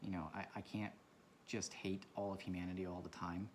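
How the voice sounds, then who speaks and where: Low-voiced man, quiet rambling talk. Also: level tone, a little fast, slight background noise. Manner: speaks quietly, rambling and reflective. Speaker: vlogger. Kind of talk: casual talk while drawing. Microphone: camera mic from a propped camera.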